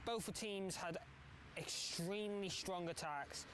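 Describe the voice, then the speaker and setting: A man narrating a football documentary in a measured, explanatory way. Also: high voice, noisy background.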